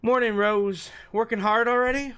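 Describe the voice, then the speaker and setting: A man's voice: very high-pitched, fairly even intonation, normal speed, a bit bright, smooth, voice pushed up. A man voicing a polite young female college student, friendly and light, in visual novel dialogue. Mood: friendly greeting.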